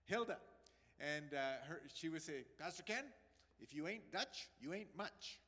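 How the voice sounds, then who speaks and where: A man in his sixties from Pakistan preaches an earnest sermon, talking straight to his congregation. His voice sits a little high for a man. The sound carries a long echo from a church hall. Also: lively intonation, measured pace, bright, forceful tone. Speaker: pastor.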